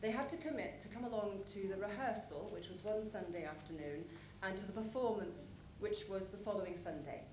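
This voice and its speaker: Woman, conference presenter, medium pitch, prepared explanatory talk, deliberate pauses, roomy hall echo, background noise. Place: large conference hall with an audience.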